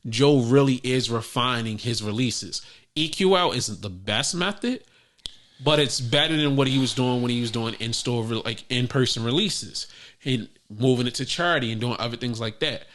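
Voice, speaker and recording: Bright-voiced, animated man on a podcast. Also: mid-range voice, normal speed. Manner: animated, profane, jumps in loud when joking. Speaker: podcast co-host. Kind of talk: casual chat.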